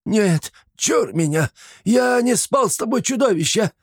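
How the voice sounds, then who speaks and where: A man doing a shrill panicked old drunk. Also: sing-song, a little slow, creaky, darkish tone, slightly rough, no room sound, clean studio sound, exaggerated voice. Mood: panicked wailing. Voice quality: shrill, wailing.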